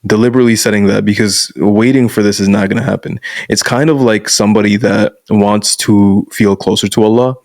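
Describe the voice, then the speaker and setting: A young man with a fairly low voice talks bluntly and casually as a podcast guest, dropping "bro" into his points. He grew up in Canada. The sound is dry and close, with no room echo. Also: steady tone, brisk, hardly any pauses, a little mellow. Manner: frank, blunt, peppers speech with "bro". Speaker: guest masculinity coach.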